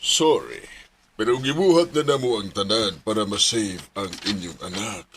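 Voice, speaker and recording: An actor with a Filipino accent playing a sombre, apologetic bearer of bad news in a Bisaya radio drama. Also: male voice, on the low side, lively intonation, normal speed, fairly bright tone, slight background noise. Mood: solemn, regretful. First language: Cebuano.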